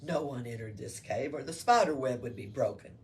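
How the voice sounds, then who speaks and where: A woman voicing a blunt, gruff male soldier in a storybook, dismissive and emphatic. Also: rumbling low, lively intonation, moderate pace, darkish tone, pitched below the performer's own voice. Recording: distant room mic with background noise.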